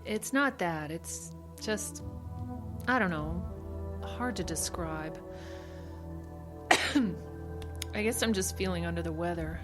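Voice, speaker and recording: An actress playing an anxious, questioning female time traveler in an audio drama, with an expressive delivery. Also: on the low side, slow, long pauses, music in the background. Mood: subdued, troubled.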